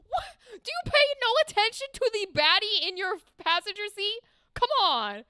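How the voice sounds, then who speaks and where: High, bright excitable American woman. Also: no echo, studio quality. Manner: excitable, swears a lot, loud reactions. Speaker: streamer playing games. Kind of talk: live game commentary.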